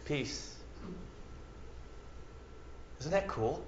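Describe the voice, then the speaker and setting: A man from central Minnesota with a Minnesota accent, preaching a sermon with dramatic swings from loud to hushed. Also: on the high side, lively intonation, extremely slow, slight background noise. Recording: echoey room, muffled sound.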